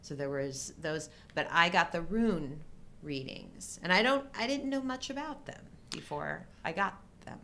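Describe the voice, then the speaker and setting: Low-voiced middle-aged woman chatting, rumbly background. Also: expressive, a little slow, vocal fry, pushed and bright, slight background noise. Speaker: co-host. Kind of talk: casual live-show chat.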